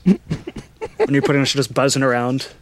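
A man on a podcast chatting casually in a laid-back, unhurried way that trails off. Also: mid-range voice, expressive, slight background noise. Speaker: podcast co-host. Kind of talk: casual chat.

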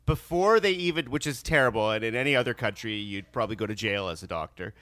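A man with a bright, sharp voice chats on a podcast, quick to rant bluntly with sardonic, deadpan humor. He is recovering from sinus surgery. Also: on the low side. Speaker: podcast co-host. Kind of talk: casual podcast chat.

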